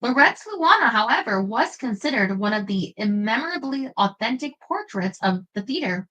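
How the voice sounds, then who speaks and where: Woman narrator, bright and crisp, fairly high pitch; measured, expressive biography reading. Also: rough recording.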